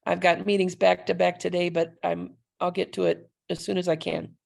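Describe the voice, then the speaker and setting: A woman's voice: lowish voice, little pitch movement, normal speed, gravelly, a little mellow. A woman chatting enthusiastically on a video call, effusive and welcoming. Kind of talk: casual video call chat.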